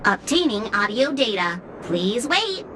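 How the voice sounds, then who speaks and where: A woman's voice: fairly high pitch, big pitch swings, measured pace, pushed and bright, slight background noise, exaggerated voice. A woman voicing a formal robot gatekeeper in a video game, making clipped procedural announcements. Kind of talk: video game cutscene acting.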